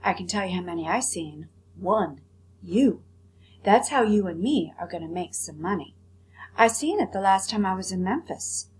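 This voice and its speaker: A woman's voice: mid-range voice, expressive, moderate pace, slightly rough. A woman voicing a poor, determined young boy with folksy rural grammar in a storybook. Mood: eager, scheming. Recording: faint background hum.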